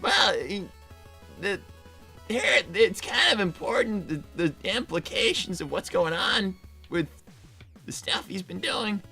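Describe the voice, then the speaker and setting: A woman's voice: mid-range voice, animated, unhurried, sharp tone, faint music behind. A woman voicing a mild young male fox who is hesitant, stammering and conciliatory, in improvised livestream comedy. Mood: evasive.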